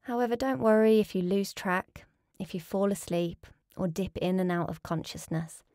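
A woman leading a guided relaxation as a yoga nidra teacher, speaking in a calm, soothing, measured way with pauses. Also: medium pitch, dry recording, clean studio sound.

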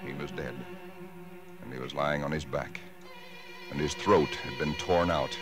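Very deep, haunted husband, old radio. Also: male voice, steady tone. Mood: bleak foreboding. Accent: American. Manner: halting, brooding, intense. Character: haunted, dread-filled husband recounting nameless horrors. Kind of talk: 1940s radio drama acting, first-person narration. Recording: 1940s radio broadcast with loud music.

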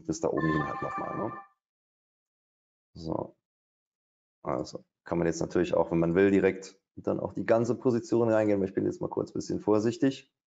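Low, gravelly man thinking aloud. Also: steady tone, a little fast, darkish tone, soft background music. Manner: thinking aloud, hesitant, many fillers. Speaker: day trader. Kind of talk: live trading commentary. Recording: dull, lo-fi stream audio.

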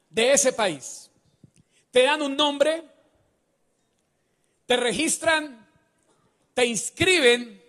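A man's voice: extremely high pitch, fairly bright tone. A man preaching a sermon on a large church stage into a handheld mic. He speaks emphatically and keeps putting questions to the congregation. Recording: reverberant church hall.

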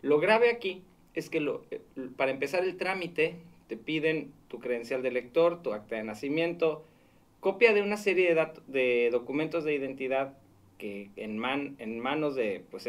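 A Mexican man in his thirties talks into a studio boom mic in a small radio booth. His voice sits a little high, and his delivery is earnest and cautionary, laying out the facts plainly for listeners. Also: animated, moderate pace. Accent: Mexican Spanish. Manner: earnest, warning, explanatory. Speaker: radio show consumer-fraud reporter. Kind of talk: radio talk segment. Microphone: studio mic on boom arm.

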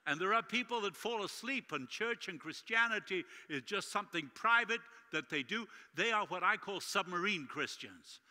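A middle-aged man from Uruguay with a Spanish accent, preaching an animated sermon with jokes as guest speaker in a large church. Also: high-pitched, moderate pace, few pauses. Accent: Latin American Spanish. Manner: animated, gesturing widely while walking the stage. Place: large church sanctuary stage. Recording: long hall reverb.

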